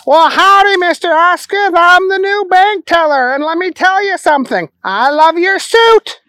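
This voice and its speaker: A storyteller voicing a loud, silly, grubby young man who yells in a high, excitable voice with folksy whoops. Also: unhurried, heavily acted. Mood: excited.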